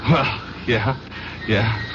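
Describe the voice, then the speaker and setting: An actor playing an earnest, excitable young newspaperman in a radio drama, speaking in a halting, flustered and emphatic way. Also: lowish voice. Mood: friendly, eager. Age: young adult. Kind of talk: 1970s radio drama acting. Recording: noisy, dull old radio recording.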